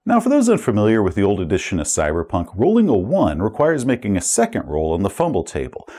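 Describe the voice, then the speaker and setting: A man's voice: on the low side, huge pitch swings, quick pace, dark tone. A man hosting and telling tabletop gaming war stories as a game master, with emphatic, dramatic phrasing. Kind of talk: animated anecdotal storytelling about tabletop gaming.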